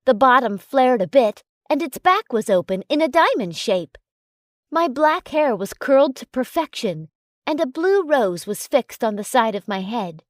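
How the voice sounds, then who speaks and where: A woman narrates a romance story in a high voice. Her pitch moves in lively sweeps as she reads the scripted text, and the recording is dry with almost no room sound. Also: a little slow, dry recording.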